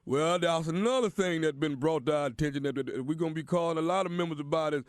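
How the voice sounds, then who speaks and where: Officious church deacon played by a man, high voice, halting, folksy delivery for a prank call, dry sound. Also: dry recording, lightly acted. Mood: insistent, placating. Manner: halting, repeats words, folksy. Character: bumbling, stammering church deacon, officious and apologetic prank caller.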